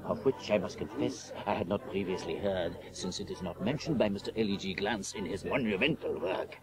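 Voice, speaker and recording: An actor playing a cunning, pompous master-criminal professor who speaks formally and floridly in a radio comedy. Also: male voice, sits low, a crowd in the background, natural voice. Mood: grandly explaining. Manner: formal, florid, deliberate speech. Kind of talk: radio comedy drama acting.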